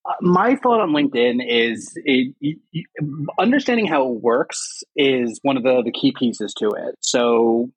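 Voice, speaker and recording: A man co-hosting a casual podcast, explaining things with halting, repeated words. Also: mid-range voice, animated, slightly rough, no room sound, low-quality recording. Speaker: podcast co-host. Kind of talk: casual chat and anecdotes.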